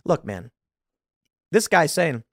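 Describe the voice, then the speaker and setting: Animated, mocking man in his thirties. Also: fairly high pitch, slow, dry recording, studio quality. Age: 30s. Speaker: political commentary host. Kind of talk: reacting to a video, commentary.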